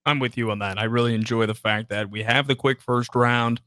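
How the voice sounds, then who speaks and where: A man's voice: mid-range voice, steady tone, brisk, pushed and bright, dry recording. A man hosting a sports radio show in a conversational style, on a broadcast microphone in a studio.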